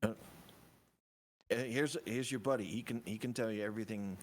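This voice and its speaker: A man playing a tabletop roleplaying game, speaking casually and haltingly, often repeating words. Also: lowish voice, slight background noise.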